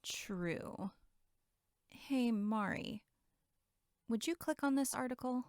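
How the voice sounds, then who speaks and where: A woman's voice: fairly high pitch, slow, a little mellow, no echo, clean studio sound. A woman acting in an audio drama as a flippant young camp counselor, with a sarcastic, blunt and casual way of talking. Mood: curious, teasing.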